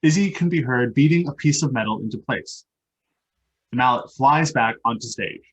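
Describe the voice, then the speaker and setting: A man with a slightly husky voice reading stage directions as scripted narration for a play. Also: medium pitch, expressive, normal speed, lo-fi sound. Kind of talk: scripted narration at a live table read.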